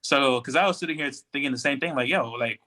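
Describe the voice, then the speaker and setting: A man with a bright, sharp-edged voice of medium pitch talks as a podcast panelist. His delivery is casual and conversational. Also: quick pace. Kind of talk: casual pop-culture discussion.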